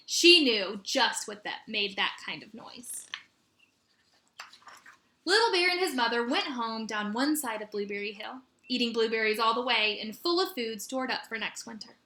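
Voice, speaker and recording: A woman teacher reading a children's story aloud in an expressive, animated way with dramatic emphasis. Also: on the high side. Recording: roomy echo.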